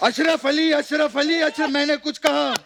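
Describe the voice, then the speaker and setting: A man's voice: very high voice, fairly even intonation, moderate pace, creaky. A man playing a boozy, scheming father in a theatrical stage comedy sketch. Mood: indignant. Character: boozy, scheming elderly father, comic film-star parody. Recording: loud noise from studio audience.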